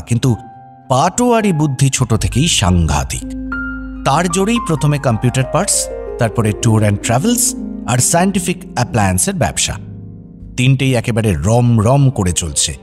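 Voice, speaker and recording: A man narrating a scripted radio story in a wry, expressive way. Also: medium pitch.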